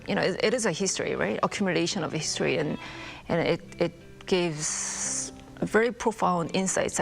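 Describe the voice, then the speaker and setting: A woman's voice: fairly low pitch, vocal fry. A woman in her thirties from South Korea with a Korean accent, answering interview questions thoughtfully with many fillers and restarts. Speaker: filmmaker guest. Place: broadcast studio.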